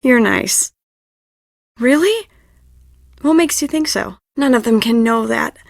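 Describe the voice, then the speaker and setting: A woman voicing a stressed, dramatic young stage director in an adventure game, expressive and confiding. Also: on the high side, slow, gravelly, dry recording, studio quality, exaggerated voice. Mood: confiding, troubled. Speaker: video game voice actor. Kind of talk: adventure game dialogue acting.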